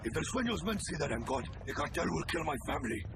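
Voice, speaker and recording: An actor playing a frightened cartel captive in a video game, urgent and pleading. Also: male voice, on the high side, big pitch swings, fast, vocal fry. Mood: desperate. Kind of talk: dramatic video game cutscene acting. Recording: loud noise around the voice.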